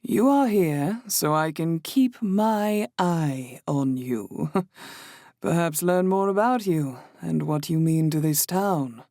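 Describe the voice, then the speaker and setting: A man voicing a silver-haired older woman innkeeper in an audiobook, amused and mysterious, with a slow, hushed, purring delivery. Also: fairly high pitch, expressive, no room sound, clean studio sound, pitched above the performer's own voice. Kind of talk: audiobook character voice.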